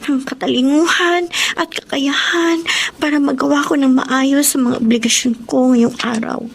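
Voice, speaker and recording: A woman playing a young, hardworking and devout older sister in a Tagalog radio drama, speaking in an earnest, expressive everyday way with a Filipino accent. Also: high-pitched, moderate pace, creaky. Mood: earnest, prayerful. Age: young adult. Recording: faint background noise.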